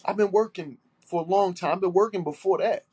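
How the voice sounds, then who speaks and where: A man's voice: high-pitched, fairly quick, a little mellow, husky. A man with a stuffy nose, hosting a solo podcast and talking in an aggrieved, emphatic way, repeating his points and swearing. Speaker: podcast host.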